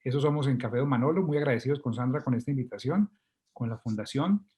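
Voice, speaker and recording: A man's voice: mid-range voice, quick pace, darkish tone. A man with a Colombian accent talking informally as a guest, courteous and explaining things step by step. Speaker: coffee company representative, guest.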